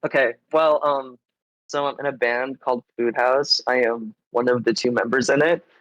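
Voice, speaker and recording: A man with a congested voice talks as a guest musician in a casual interview. His delivery is informal and meandering, peppered with "like". The sound is dry and slightly dull, as on a low-fidelity stream. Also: steady tone, moderate pace, a bit bright, no room sound. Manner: informal, meandering, heavy use of like. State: getting over a cold, congested. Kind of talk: casual chat. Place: live online stream.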